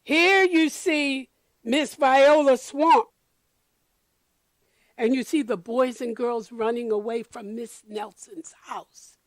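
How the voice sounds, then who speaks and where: Female storyteller for children's picture book read-aloud: high register, unhurried and expressive, dry sound. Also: dry recording, low-quality recording. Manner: deliberate, addresses children and explains pictures.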